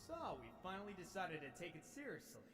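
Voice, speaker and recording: A man acts out a powered-up anime fighter, cocky and eager for battle. The delivery is dramatic and swings widely in pitch, sitting fairly high, with loud music behind it. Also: very animated, fast. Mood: excited. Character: confident, battle-eager male martial arts warrior in powered-up form. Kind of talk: anime fandub acting. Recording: fandub clip under loud music.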